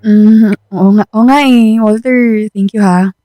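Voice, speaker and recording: A woman's voice: medium pitch, fairly even intonation, a little slow, warm tone, faint music behind, close to an everyday voice. An actress playing a shy, self-doubting young woman, hesitant, in a Filipino radio drama. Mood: bashful. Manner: hesitant, insecure.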